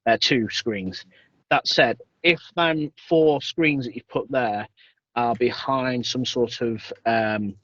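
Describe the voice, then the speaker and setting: A man's voice: medium pitch, breathy edge, no room sound. A man answering audience questions at an online meetup, thinking aloud with lots of fillers. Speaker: guest speaker. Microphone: video call. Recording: dull, dry video call audio.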